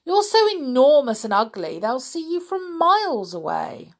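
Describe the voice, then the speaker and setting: A scornful, sharp-tongued smaller crocodile in a storybook, voiced by a woman reading aloud. Its voice rises higher and louder as it scolds, with a gravelly edge underneath. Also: very animated, unhurried, heavily acted. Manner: scolding, mocking.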